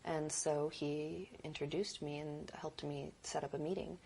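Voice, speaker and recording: A woman's voice: level tone, unhurried, gravelly, darkish tone, slight background noise, poor audio. A young adult woman producer and director talking in a casual interview, earnest and reflective, thinking aloud with small restarts. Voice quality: deep voice.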